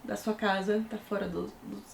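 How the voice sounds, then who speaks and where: A woman's voice: medium pitch, fairly even intonation, moderate pace, vocal fry, darkish tone, a little hiss or hum. A young adult woman from Recife with a Pernambuco accent, chatting casually in a book review vlog. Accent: Recife Pernambuco Portuguese. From Recife, Pernambuco, Brazil. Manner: chatty, full of fillers. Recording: echoey room.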